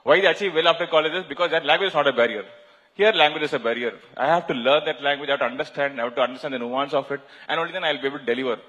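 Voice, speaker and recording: A man with an Indian English accent answering a panel question, halting often with fillers and restarts. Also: fairly high pitch, pushed and bright, muffled recording. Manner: hesitant, many fillers and restarts. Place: large conference hall. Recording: reverberant hall.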